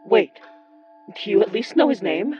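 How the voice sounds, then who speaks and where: A woman's voice: medium pitch, animated, normal speed, slightly rough, highs cut off, soft background music. A woman playing a blunt, determined young summoner in an audio horror drama, speaking directly and intensely. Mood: urgent, resolute.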